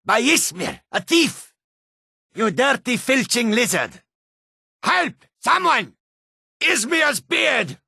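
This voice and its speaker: A man voicing a wary, combative male cat-folk character from a fantasy video game, with a gravelly, raspy voice and exotic, formal phrasing. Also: very high-pitched, sing-song, slow, long pauses, pushed and bright, no room sound, studio quality, full character voice. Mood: outraged, then panicked.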